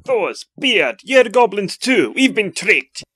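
A man voicing a gruff, suspicious dwarf cleric in a cartoon fan dub. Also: high voice, very animated, takes it slowly, sharp tone, dry recording, clean studio sound, full character voice. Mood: alarmed.

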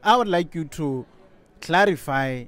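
A young man hosting a podcast, easygoing and quick to laugh, recorded outdoors on a boom mic. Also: animated, fairly bright tone. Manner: easygoing, laughs often, short backchannels. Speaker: podcast host. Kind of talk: casual interview talk. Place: outdoor table on a campus courtyard. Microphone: studio mic on boom arm.

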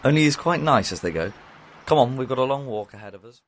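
A man playing an eccentric time-travelling doctor, brisk and exclamatory, in a fan audio drama. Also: mid-range voice, lively intonation, lightly acted.

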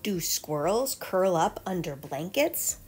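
A woman's voice: fairly low pitch, very animated, slow pace, darkish tone, faint music behind. A woman reading a children's picture book aloud like a teacher, with emphatic storytelling delivery. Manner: clear storytelling delivery with emphatic questions and answers.

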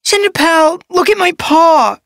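A woman voicing a small, eager young female apprentice cat who talks in an excitable, whiny way. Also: extremely high pitch, measured pace, no echo, studio quality, heavily acted.

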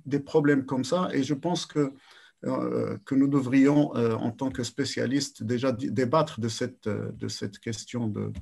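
An older man giving a lecture over a video call, measured and repeating words as he searches for phrasing. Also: mid-range voice, creaky, darkish tone. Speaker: sports science speaker. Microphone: webcam on video call.

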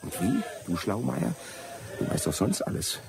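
An actor playing a whining, panicky, cowardly companion in a radio play, with a moaning, stammering delivery. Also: male voice, deep voice, huge pitch swings, normal speed, gravelly, music in the background, full character voice. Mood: sullen. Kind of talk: German radio play acting.

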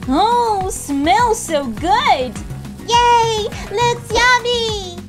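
Very high, cutesy little puppet child. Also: female voice, heavily acted. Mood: delighted. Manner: cutesy, childlike, drawn-out delivery. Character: excitable, hungry little child puppet-show friends. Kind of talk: children's puppet show voice acting. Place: studio voice-over. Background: children's music.

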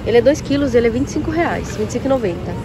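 A woman's voice: a little high, animated, very fast. Chatty young woman in a noisy supermarket. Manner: chatty, addresses viewers, compares prices aloud. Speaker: vlogger, café owner. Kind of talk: casual shopping vlog. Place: large warehouse supermarket, indoors. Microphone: phone held at arm's length. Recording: noisy store ambience.

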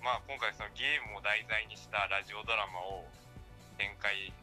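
A polite man on a phone call to a radio show, casually pitching an idea as a staff writer. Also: steady tone, moderate pace, loud music behind the voice. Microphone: telephone call.

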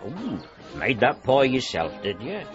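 Flirty country villager, rural English accent, leisurely, folksy, muffled. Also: male voice, medium pitch, wildly swooping pitch, measured pace. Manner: folksy, teasing. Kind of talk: radio drama acting. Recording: dull lo-fi radio drama with music.

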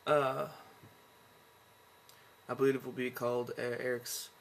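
A tired young man rambling in a low-key way to a webcam from his bedroom, recording a casual video diary. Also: level tone, unhurried, vocal fry. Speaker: vlogger. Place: bedroom at home.

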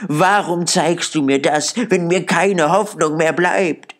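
A man's voice: slow, a bit bright. A man voicing an old miser who pleads in terror and desperation with a raised, strained voice. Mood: pleading. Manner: desperate, high-pitched pleading.

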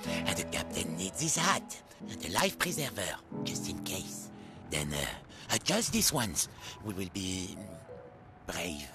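A man's voice: low voice, vocal fry, full character voice. A man voicing a grumpy, bossy male hermit crab in a storybook reading, cranky and self-important. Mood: blustering. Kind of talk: storybook character voice. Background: music.

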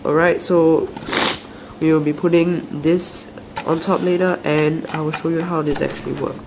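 A young man with a croaky, soft voice after a flu, hosting a casual hobby tutorial and explaining each step with small hesitations and restarts. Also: fairly high pitch, flat delivery, normal speed, noisy background. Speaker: aquarium hobby channel host. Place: indoors at a table.

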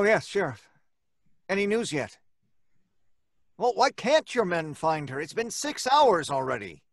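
An actor playing an anxious, agitated husband in an old-time radio drama, urgent and halting. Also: male voice, on the high side, no echo, studio quality, exaggerated voice. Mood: tense. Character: anxious, agitated husband searching for his missing wife. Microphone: studio microphone in a padded booth.

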